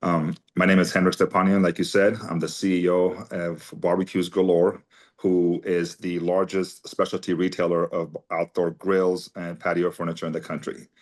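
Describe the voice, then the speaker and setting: A man's voice: sits low, little pitch movement, moderate pace. A man in his forties from Armenia, speaking as a guest in an interview and telling long personal stories in a chatty, conversational way. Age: 40s. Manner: chatty anecdotal storyteller, many fillers. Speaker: guest, company CEO.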